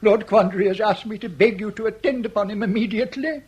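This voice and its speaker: An anxious butler, with a high, husky voice. He speaks deferentially and formally, as in a period radio drama. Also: fast talker, a little mellow. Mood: anxious, urgent.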